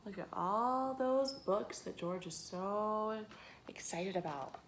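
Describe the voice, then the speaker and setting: A woman reads a picture book aloud in a teacher's storytelling style for young children. Her voice sits at a medium pitch and she is expressive, addressing the listeners directly. There is faint noise behind her in the recording. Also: slow pace.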